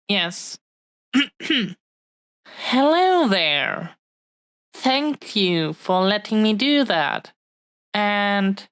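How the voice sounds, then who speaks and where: A woman playing a tender, possessive dragon-blooded fiancée in an intimate romantic audio roleplay, speaking haltingly with lingering, breathy pauses. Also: mid-range voice, no echo. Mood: sheepish.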